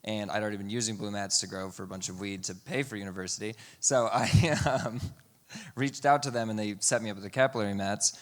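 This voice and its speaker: A man with a low voice answers questions at a conference. He talks casually, with occasional fillers. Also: steady tone, brisk. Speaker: presenter. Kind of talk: conference talk. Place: conference room.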